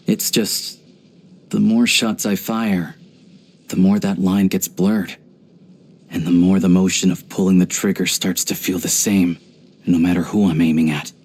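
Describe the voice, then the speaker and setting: A man voicing a video game character, an earnest, pragmatic young hunter and rifleman who talks in a measured, reflective way. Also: deep voice, darkish tone, husky. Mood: somber, reflective.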